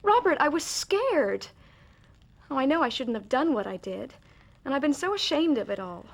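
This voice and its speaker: Earnest young bride, higher-pitched. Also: female voice, sing-song, normal speed, vocal fry, heavily acted. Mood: contrite, pleading. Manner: earnest, heartfelt. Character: earnest, contrite young American bride, frank and warm-hearted. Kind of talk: period radio drama acting.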